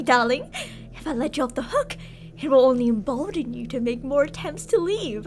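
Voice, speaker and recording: A high-voiced, obsessive female captor, acted by a woman in an ASMR audio roleplay. She coos sweetly and threatens in the same breath, her pitch swinging theatrically. There is clear noise behind her voice. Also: a little mellow. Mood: stern, coaxing. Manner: sugary endearments, menacing, theatrical. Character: obsessive yandere woman captor, doting yet menacing.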